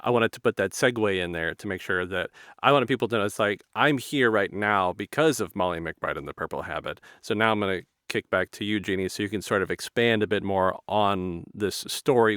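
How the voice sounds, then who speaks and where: Lowish-voiced man hosting, closely recorded. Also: expressive, normal speed, fairly bright tone, no echo, studio quality. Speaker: interview host. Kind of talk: casual conversational interviewing.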